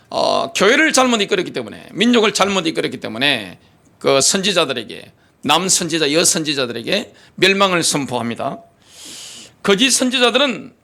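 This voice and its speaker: A man's voice: high voice, creaky, bright, forceful tone. A middle-aged man preaching a sermon as pastor of a church, emphatic, asking the congregation rhetorical questions. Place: church sanctuary.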